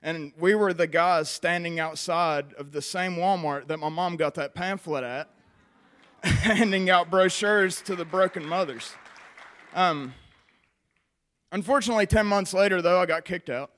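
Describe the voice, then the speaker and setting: A man in his twenties with a West Virginia accent, giving an earnest, conversational personal testimony to a church audience with frequent pauses. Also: high voice, a bit bright. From West Virginia, USA. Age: 20s. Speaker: recovery program member giving testimony.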